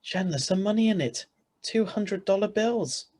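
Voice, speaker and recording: A man's voice: high voice, normal speed, a little mellow. A man playing an ordinary, easily flustered married man who narrates his own story in an old-style radio play, read over a video call. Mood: puzzled, domestic. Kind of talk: 1940s radio acting read aloud.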